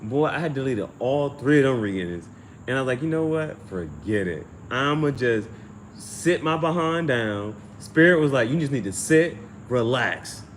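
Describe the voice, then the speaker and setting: A man's voice: medium pitch, expressive, normal speed. Casual slangy man over noisy background. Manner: slangy, profane, repeats phrases while thinking aloud. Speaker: amateur tarot reader and channel host. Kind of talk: casual livestream storytelling.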